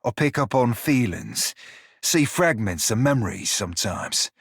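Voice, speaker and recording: A man playing a roguish, good-hearted creature in a video game, talking in salty dialect with a rough, husky voice. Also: mid-range voice, animated, a little slow, fairly bright tone, dry recording, exaggerated voice. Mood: playful, cheeky. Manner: salty pirate dialect, colloquial. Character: roguish blue-furred psychic pirate, flirty, salty, good-hearted. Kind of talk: video game character dialogue.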